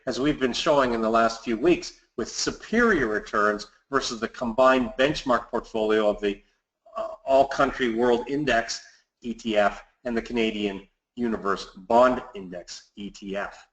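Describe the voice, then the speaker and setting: Guy doing a scripted finance webinar update, financial advisor type, mid pitch, pretty echoey room. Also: normal speed, a bit bright. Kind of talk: scripted market commentary presentation. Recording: roomy, echoey sound.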